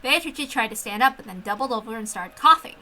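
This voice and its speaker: High, bright-voiced woman reading a story. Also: lively intonation, fast talker. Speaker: story reader. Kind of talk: dramatic narration of a visual novel with casual asides.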